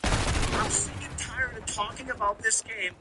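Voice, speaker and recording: High-pitched man ranting on a game livestream. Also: steady tone, unhurried, very noisy. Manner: ranting, repetitive hype talk; combative, swearing. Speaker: live streamer. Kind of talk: livestream commentary over a shooter game. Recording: loud shooter game audio, gunfire and music. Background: game music and gunfire.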